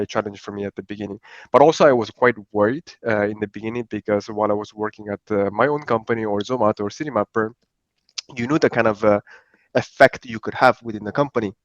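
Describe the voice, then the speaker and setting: A man from Italy, a podcast guest, talking in a relaxed, rambling way with many fillers. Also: lowish voice, no echo. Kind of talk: conversational podcast interview. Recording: dry, dull lo-fi sound.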